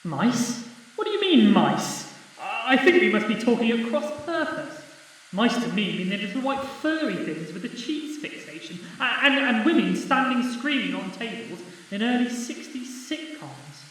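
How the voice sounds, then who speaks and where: High, lively, flustered Earthman for amateur audio drama, male actor. Bewildered, stammering protests in a long-reverb hall. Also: moderate pace, heavily acted. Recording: very echoey hall, distant mic, faint noise.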